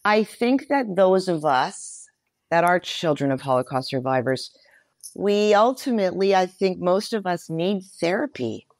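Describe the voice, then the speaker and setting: A woman in her sixties speaking candidly and reflectively as an interview guest, with measured emphasis. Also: lowish voice, expressive, darkish tone, no room sound, clean studio sound.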